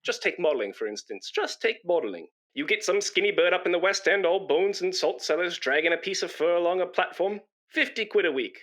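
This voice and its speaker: A pompous, nervous chatterbox of a British man , played by a male actor in a comic radio play. His voice is bright and forceful, on the high side, and very animated, rambling self-importantly and stammering when flustered. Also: fairly quick, few pauses. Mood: chatty, flustered. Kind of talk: comic radio play acting before an audience.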